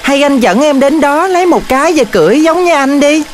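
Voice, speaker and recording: A woman voicing an excitable, wide-eyed little girl in a children's cartoon, with a Southern Vietnamese accent. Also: high-pitched, brisk. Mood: wonderstruck. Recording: loud music over the voice.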